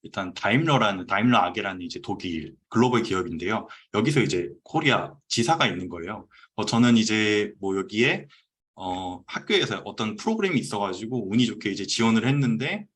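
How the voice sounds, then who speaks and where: A man around thirty giving a relaxed, conversational talk on a video call, pausing often with "uh". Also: on the low side, breathy edge. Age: 30s. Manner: relaxed, conversational, frequent "uh" pauses. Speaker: guest speaker presenting his business story. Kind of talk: casual presentation on a video call. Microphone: computer mic on a video call.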